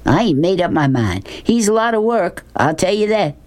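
A gruff, bullying country man from a storybook, voiced by a woman reader, speaking with a drawl. He is folksy and pushy, with a mocking edge to what he says. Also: lowish voice, sing-song, moderate pace, a little mellow, voice pushed up. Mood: scheming, boastful. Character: gruff, bullying, sweaty-faced rural motel man.

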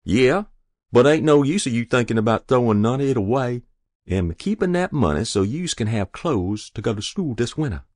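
A man puts on a hardworking Southern country mother who takes no nonsense. The voice is raised in pitch and very changeable, sharp and dismissive, scolding in rural dialect as in an audiobook story. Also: animated, moderate pace, dry recording, clean studio sound, natural voice. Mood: distracted.

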